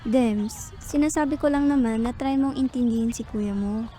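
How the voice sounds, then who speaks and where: A woman with a Filipino accent playing a calm, reasonable young best friend in a radio drama. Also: fairly high pitch, moderate pace, slight background noise, natural voice. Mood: calm, soothing.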